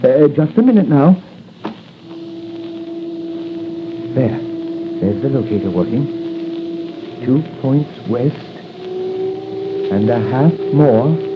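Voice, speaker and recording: Fretful fatherly scientist, repeats himself, tinny 1940s radio drama, clearly noisy recording. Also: medium pitch, very animated, slow, pauses often. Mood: busy, eager. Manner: fussy, repeats words anxiously. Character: anxious, devoted scientist doctor, fatherly helper.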